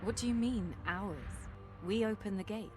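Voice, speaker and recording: A woman's voice: mid-range voice, moderate pace, lightly acted. An actress voicing a pragmatic, hardened warrior woman in a fantasy video game, serious and direct. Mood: quiet resolve. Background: game music.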